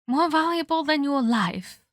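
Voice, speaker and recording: A woman's voice: high-pitched, lively intonation, a little slow, a bit bright, no room sound, studio quality. A woman playing a sadistic, scheming, centuries-old female vampire in an ASMR roleplay, haughty and taunting, with formal, scolding phrasing. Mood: gleeful taunting.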